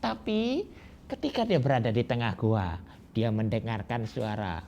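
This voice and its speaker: A man telling a folk tale to children, slow and drawn-out, with rhetorical questions. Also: medium pitch, animated, vocal fry, darkish tone. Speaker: storyteller. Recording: dull lo-fi recording with faint hiss.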